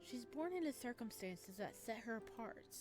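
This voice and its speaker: A woman voicing a soft-spoken, devoted male florist in a visual novel, in a soft, measured and tender manner, with game music behind. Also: fairly high pitch, animated, natural voice. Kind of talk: reading a visual novel aloud.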